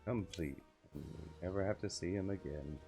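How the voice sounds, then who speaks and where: Low-voiced man with game music behind. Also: moderate pace, warm tone. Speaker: game streamer. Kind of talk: reading game dialogue aloud with casual gameplay commentary. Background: video game music.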